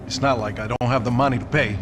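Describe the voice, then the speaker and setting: An actor playing a troubled, wealthy Brazilian businessman in a video game, speaking in a measured, resigned way with a Brazilian accent. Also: mid-range voice, steady tone, noisy background, lightly acted. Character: weary wealthy Brazilian businessman, a defensive worried husband. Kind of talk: dramatic video game dialogue.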